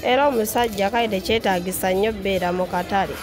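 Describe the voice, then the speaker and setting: Market vendor: young Ugandan female, medium pitch, conversational interviewee, music playing behind her. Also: quick pace. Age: young adult. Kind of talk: casual interview answers. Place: open-air market stall.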